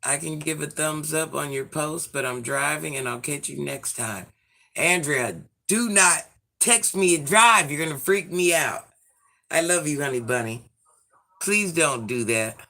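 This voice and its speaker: A man in his forties, hoarse from illness, chatting sassily with viewers on a livestream and reading out their comments. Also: on the high side, animated, a little slow, pushed and bright. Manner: sassy, chatty, addresses viewers by name. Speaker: livestream host.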